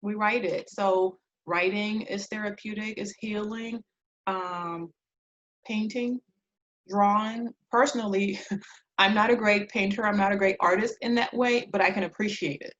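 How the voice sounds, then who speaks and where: A woman in her thirties from Liberia answers interview questions conversationally as a guest, reflective and halting, often pausing between phrases. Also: medium pitch, fairly even intonation, a bit bright, dull audio. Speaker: interview guest, clinical social worker.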